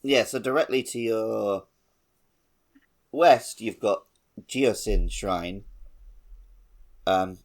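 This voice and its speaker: A man's voice: fairly low pitch, very slow, pauses often. A man co-hosting a podcast, talking casually about a video game and often correcting himself as he goes. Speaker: podcast co-host.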